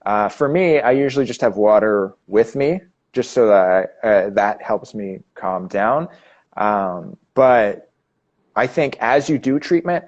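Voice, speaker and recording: A man's voice: mid-range voice, a little mellow, highs cut off. A man from Canada hosting a live chat, talking casually with frequent restarts. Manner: halting, many restarts and fillers. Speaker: host.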